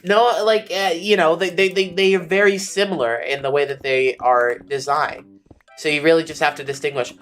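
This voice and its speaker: A young streamer with a slightly raspy voice, chatting casually and ranting animatedly, with playful insults. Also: medium pitch, fairly even intonation, normal speed, soft background music. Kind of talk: casual rambling chat while streaming.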